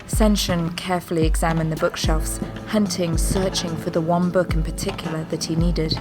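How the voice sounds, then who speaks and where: A woman giving measured, descriptive scripted narration for an audio drama. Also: fairly low pitch, fairly even intonation. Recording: loud music bed behind voice.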